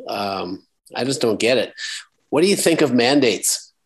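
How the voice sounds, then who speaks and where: A man's voice: mid-range voice, animated, unhurried, vocal fry. Agreeable male interview host. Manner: agreeable, asks questions with backchannels.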